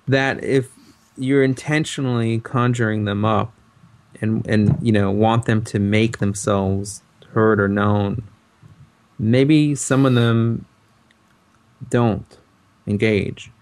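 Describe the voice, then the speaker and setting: A man's voice: lowish voice, steady tone, takes it slowly, long pauses, darkish tone. A man co-hosting a podcast, musing in casual chat with a hesitant, thoughtful delivery. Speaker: podcast co-host.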